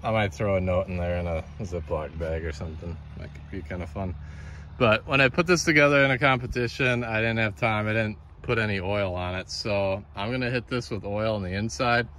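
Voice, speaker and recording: A man, a chainsaw carver, casually talking through his work in a relaxed, matter-of-fact way outdoors by his workshop. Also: lowish voice, brisk, a little hiss or hum. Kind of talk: casual workshop vlog narration.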